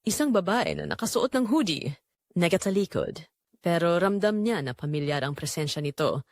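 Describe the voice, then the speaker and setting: A woman's voice: lowish voice, very animated, normal speed, dry recording. A woman with a Filipino accent narrating a scripted drama story in a dramatic style.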